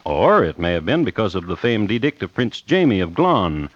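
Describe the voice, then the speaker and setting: An actor playing a wry, formal narrator of an old tale in a radio drama, with a measured, mock-solemn delivery. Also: male voice, fairly low pitch, big pitch swings, no echo. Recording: muffled old radio recording, music behind.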